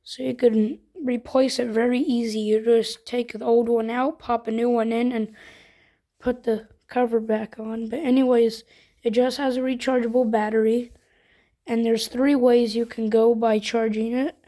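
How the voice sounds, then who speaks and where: High-voiced woman giving a casual product demo. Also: flat delivery, normal speed, dark tone. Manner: informal, step-by-step explaining. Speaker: product reviewer.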